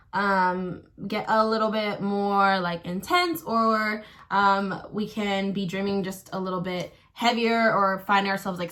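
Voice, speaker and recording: A young woman chatting casually about astrology while doing her makeup, soft-voiced and full of likes and ums. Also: mid-range voice. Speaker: astrology vlogger. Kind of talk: casual get-ready-with-me chat. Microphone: built-in camera mic.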